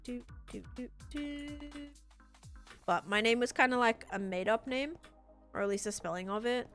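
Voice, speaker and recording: A woman's voice: mid-range voice, a little fast, fairly bright tone. A woman chatting casually with viewers during a gaming live stream, thinking aloud in an informal way as she plays. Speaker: live streamer playing a video game. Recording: music behind the voice. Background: background music.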